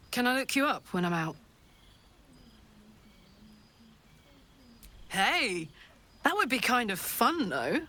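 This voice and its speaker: A woman playing a brash, warm-hearted, battle-hardened soldier in a video game, with a hearty, blunt delivery. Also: medium pitch, exaggerated voice. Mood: playful. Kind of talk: video game character acting.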